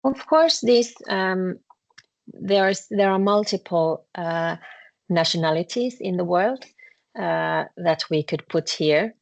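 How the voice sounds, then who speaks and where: A woman with a Finnish accent teaching a language class, explaining patiently with frequent pauses and fillers. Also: lowish voice, lively intonation, creaky. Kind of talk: classroom lesson explaining grammar.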